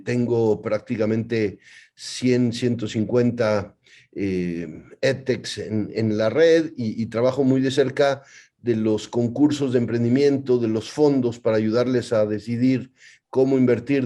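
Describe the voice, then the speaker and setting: A man's voice: medium pitch, dark tone. A Mexican man with a Mexican accent, introducing himself as a panelist on a video call webinar, often repeating words as he builds his sentences.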